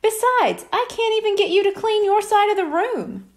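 A woman's voice: very high voice, expressive, normal speed, pitched above the performer's own voice. A woman voicing a firm but affectionate mother of young boys, patient in manner, in a children's storybook.

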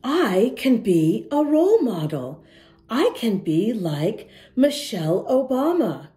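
Lady doing kids read aloud, really mellow voice, super lively up and down, encouraging, talks right to the little ones. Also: on the high side, slow pace. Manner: encouraging, addresses young listeners directly with questions. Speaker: children's storytime reader and host. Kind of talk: expressive picture-book read-aloud to young children.

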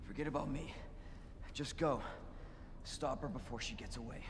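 A man's voice: medium pitch, normal speed, creaky, close to an everyday voice. A man playing an earnest, stubborn young rookie cop in a video game, blunt and determined, with an American accent. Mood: strained, urgent. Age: young adult. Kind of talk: cinematic video game acting. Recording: noisy underground ambience behind.

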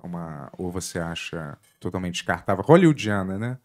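A man's voice: low voice, animated, normal speed. A man in his thirties with a Carioca accent, hosting a casual podcast chat in a studio, talking loosely with lots of fillers and trailing questions. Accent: Carioca Brazilian Portuguese. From born in Portugal, raised in Rio de Janeiro. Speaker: podcast host. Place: studio with curtains, indoors. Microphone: boom mic with headphones.

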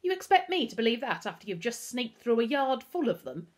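Lady doing a nasty bully guy for a kids audiobook, suspicious, snarly, bit husky, threatening. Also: a little high, sing-song, brisk, pitched above the performer's own voice. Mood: accusing, menacing. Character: menacing, disgusted man, suspicious and bullying. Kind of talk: children's audiobook character voice.

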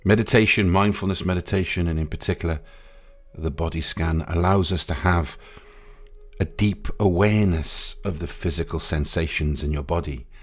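A man guiding a meditation in a soothing, unhurried way, pausing between short phrases. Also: low voice. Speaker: meditation guide. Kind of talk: guided meditation. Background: music.

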